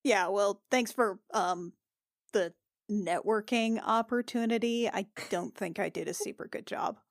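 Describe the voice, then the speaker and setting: A woman playing a tabletop roleplaying game, improvising dialogue in a casual, halting, informal way. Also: mid-range voice, no room sound, studio quality.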